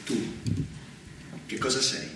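An actor playing a jealous general in a stage tragedy, swinging from hushed menace to shouting at his wife. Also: slow, natural voice. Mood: menacing, accusing. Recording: very echoey, distant, noisy stage recording.

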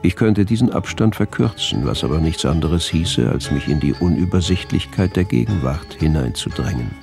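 Radio drama: brooding, grandiose male doctor, deep voice, formal measured diary monologue, faint music underneath. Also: lively intonation, vocal fry, heavily acted. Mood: solemn, lofty.

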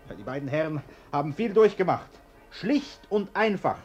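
Well-to-do householder, fussy, insistent, nervous, lively intonation, radio play. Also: male voice, fairly high pitch, normal speed, soft background music. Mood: fussy, agitated. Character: fussy, nervous well-off bourgeois householder, bossy with his maid. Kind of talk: German radio play acting.

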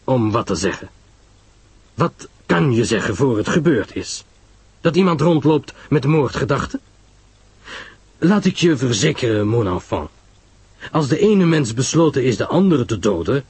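A man playing a calm, sententious detective who explains gravely in a mystery audio drama. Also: medium pitch, no room sound, exaggerated voice. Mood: grave, patient. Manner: measured, grave, didactic. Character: calm, sententious male detective, measured and knowing.

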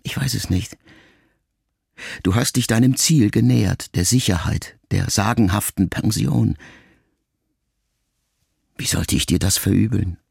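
A man reading a literary letter aloud as an audiobook narrator, in a slow, solemn and reflective way with long pauses. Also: fairly low pitch, vocal fry, a little mellow, breathy edge, no room sound, studio quality.

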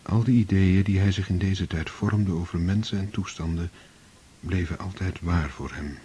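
A man narrating a radio play in a steady, measured storytelling manner. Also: deep voice, a little hiss or hum. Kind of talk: scripted narration.

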